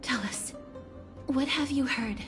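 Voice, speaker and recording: Gentle soul-guiding priestess: fairly high, unhurried, solemn, game dialogue. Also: female voice, over music. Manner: solemn, measured, incantatory. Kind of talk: video game voice acting.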